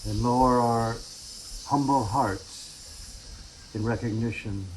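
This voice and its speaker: A man's voice: mid-range voice, fairly even intonation, slow pace, roomy sound, slight background noise, rough recording. A man speaking as a podcast host and meditation teacher.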